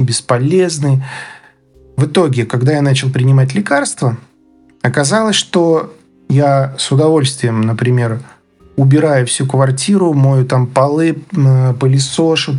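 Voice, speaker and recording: A man's voice: medium pitch, darkish tone. A man around forty from Belarus, telling his personal story in a hesitant, reflective, unscripted way. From Minsk, Belarus. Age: 30s to 40s. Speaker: interview guest, playwright.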